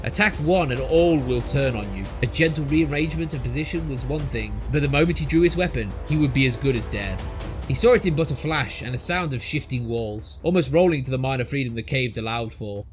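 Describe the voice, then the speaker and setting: A man reading a scripted storybook tale as narrator, in a theatrical, mock-epic manner. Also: medium pitch.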